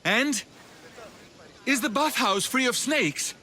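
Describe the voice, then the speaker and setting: An actor playing a smug, mocking informant in a video game, with a taunting drawl. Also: male voice, very high voice, lively intonation, takes it slowly, sharp tone, slight background noise, heavily acted. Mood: curt. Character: sly, mocking man, smug bathhouse informant. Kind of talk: video game cutscene acting.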